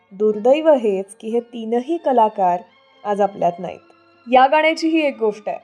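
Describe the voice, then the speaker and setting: High-voiced woman radio host over music. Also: mellow. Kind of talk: scripted radio storytelling.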